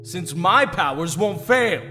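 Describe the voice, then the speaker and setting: An actor playing a hot-headed young superhero team leader in an audio drama, combative and sarcastic. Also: male voice, high-pitched, expressive, takes it slowly, pushed and bright, long reverb tail, soft background music, full character voice. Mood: angry.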